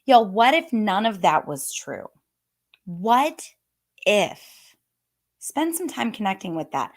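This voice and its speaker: A woman coach whose voice is hoarse and a little worn. She talks to her viewers in an upbeat, chatty way, at an ordinary middle pitch for a woman. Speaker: fitness business coach. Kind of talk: live-streamed coaching talk to viewers.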